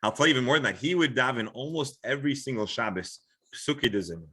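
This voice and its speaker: A man's voice: mid-range voice, expressive, fast talker, fairly bright tone, husky. A man with an American accent, a rabbi explaining things informally in a class discussion, often restarting and qualifying his sentences. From Florida, United States. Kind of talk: informal religious lecture with discussion.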